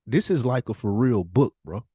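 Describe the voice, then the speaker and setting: A man's voice: mid-range voice, animated, moderate pace, warm tone, husky, dry recording, studio quality. An American man chatting casually as a podcast co-host, emphatic and given to repeating his phrases.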